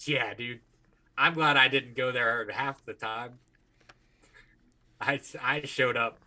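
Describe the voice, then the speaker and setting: Bright, forceful American man swapping stories. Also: medium pitch, fairly even intonation, slow pace. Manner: blunt, crude, joking. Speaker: podcast co-host. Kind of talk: casual chat, profane storytelling.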